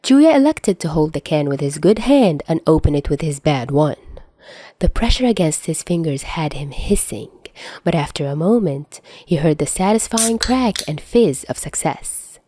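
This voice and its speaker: A woman narrates a piece of fiction in a fairly low voice. The delivery is scripted storytelling, recorded dry with no room sound. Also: lively intonation, normal speed, dark tone, no echo. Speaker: podfic narrator.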